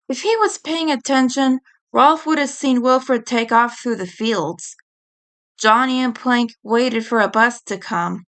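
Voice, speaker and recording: A woman's voice: on the high side, fairly bright tone. A woman reading scripted fan fiction as an audiobook narrator. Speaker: audiobook reader.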